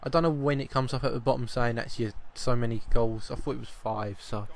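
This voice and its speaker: A man, slightly croaky with a cough, giving chatty football video game commentary and often repeating his first words. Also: mid-range voice, steady tone, a little fast. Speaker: gaming channel host.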